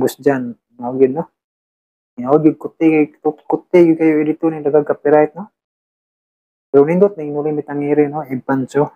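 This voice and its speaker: A man's voice: mid-range voice, mellow, muffled recording. A man hosting informally, reading out comments in a casual chat full of tag questions. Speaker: channel host.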